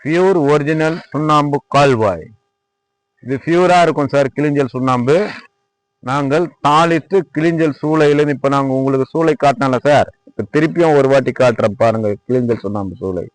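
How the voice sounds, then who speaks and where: A man with a Tamil Nadu accent gives an informal product tour and sales pitch, repeating himself as he demonstrates and politely addresses the viewer. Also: brisk, no room sound, low-quality recording. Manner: repetitive, demonstrative, addressing viewer respectfully as sir. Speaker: lime manufacturer presenting own workshop. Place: at a lime kiln worksite.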